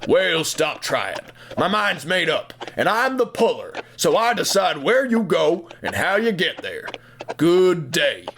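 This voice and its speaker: Man acting blunt, stubborn workhorse with lively pitch. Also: slow, a little hiss or hum, heavily acted. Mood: firm, dismissive. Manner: gruff folksy slang, blunt. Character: tough, stubborn male workhorse, plain-spoken and unsentimental.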